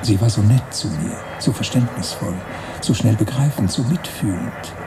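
An actor playing a wistful, romantic writer-narrator in a literary radio drama, musing deliberately in short phrases. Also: male voice, fairly low pitch, background noise, close to an everyday voice. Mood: wistful, nostalgic. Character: wistful romantic male narrator-writer recalling his emigration voyage. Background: music.

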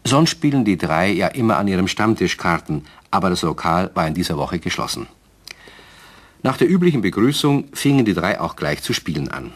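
A man's voice: low voice, sing-song, brisk. A man narrating a radio play as a storyteller, in scripted narration.

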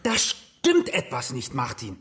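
An actor playing an earnest, methodical companion in a German historical radio drama, reasoning deliberately step by step. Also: male voice, medium pitch, wildly swooping pitch. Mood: reasoning, puzzled. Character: monk's earnest, methodical male companion working through a crime.

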